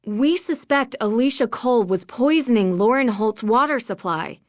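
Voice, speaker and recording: A fairly high, young female voice with a very dry sound, acted by a woman. She is a level-headed game detective. She speaks earnestly and with determination. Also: animated, normal speed, no echo, natural voice. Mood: serious, probing. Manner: earnest, purposeful. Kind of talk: video game voice acting.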